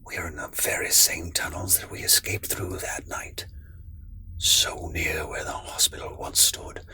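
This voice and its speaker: A man playing a cryptic, sinister figure in an audio drama, with a measured, knowing, theatrical delivery. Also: a bass voice, fairly even intonation, in a whisper.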